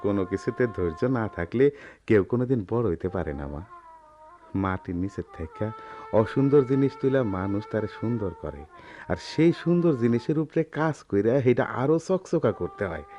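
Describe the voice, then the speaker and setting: An actor playing a gentle, principled middle-aged village goldsmith and father in a radio drama, speaking earnestly in colloquial rural Bangladeshi Bengali. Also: fairly low pitch, sing-song, fairly quick, highs cut off. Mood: tender, resigned.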